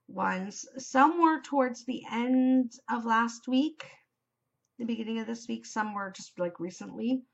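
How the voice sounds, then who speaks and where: A Canadian woman hosting her knitting podcast, chatting casually and enthusiastically in a loud voice. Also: on the high side. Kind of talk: casual chat. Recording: slightly dull home recording.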